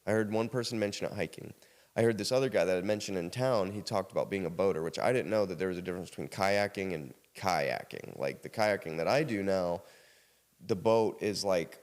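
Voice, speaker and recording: A man's voice: low-pitched, fairly quick. An American man from South Carolina telling casual personal stories as a podcast guest, acting out bits of conversation as he goes. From South Carolina, United States. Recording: reverberant hall-like room sound.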